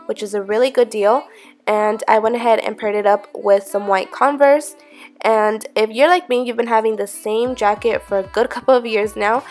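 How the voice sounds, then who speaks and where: Young woman fashion vlogger, bit high, talking straight to camera; sounds slightly sick; faint music bed. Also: darkish tone. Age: young adult. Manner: chatty and upbeat, with small slips and giggles. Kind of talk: casual vlog talk to camera. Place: small bedroom with string lights, indoors.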